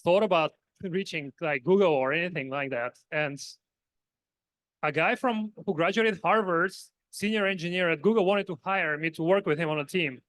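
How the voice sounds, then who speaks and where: Smooth, modest man with Bulgarian accent. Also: fairly high pitch, normal speed, a bit bright, no room sound, poor audio. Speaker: panelist, software engineer. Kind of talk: conversational conference panel answer.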